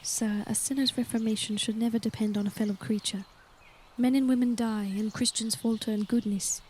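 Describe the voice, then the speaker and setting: An actress playing a reserved, principled young woman in service in a period audio drama, measured, earnest and deferential. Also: on the high side, steady tone, a little mellow, slight background noise, natural voice. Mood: earnest, steadfast. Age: young adult.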